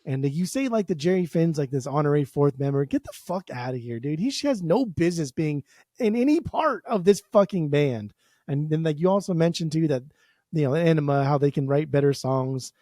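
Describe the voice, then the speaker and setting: A man with a mellow, slightly higher voice banters on a podcast. He teases and argues with his co-host and swears casually. The recording is dry and close. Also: expressive, brisk, no room sound. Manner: teasing, combative and jokey.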